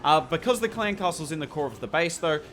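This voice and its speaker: A man commentating a strategy game over footage, enthusiastic and conversational, with a slightly hoarse voice. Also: a little high, lively intonation, quick pace, a bit bright. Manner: enthusiastic, conversational, points things out to viewers. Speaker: gameplay commentator.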